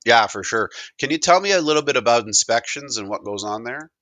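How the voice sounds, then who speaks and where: A man asking casual questions in a halting, informal way, as if thinking aloud. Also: medium pitch, animated, sharp tone, no echo. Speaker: caller asking questions in a product demonstration.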